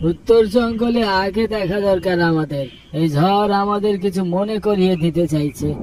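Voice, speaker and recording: A man's voice: high voice, unhurried, a little mellow, pitched above the performer's own voice. A man voicing an alarmed, commanding elderly villager in a cartoon, emphatic and urgent. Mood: grave urgent. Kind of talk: cartoon voice acting. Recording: faint noise, slightly distant mic.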